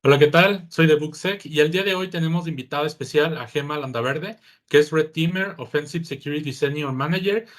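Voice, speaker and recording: Un hombre que copresenta el programa, presenta a la invitada y lee los anuncios con un tono formal de locutor. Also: male voice, a little high, a little fast. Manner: formal, announcer-like delivery. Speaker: co-host. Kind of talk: scripted introductions and sponsor reads.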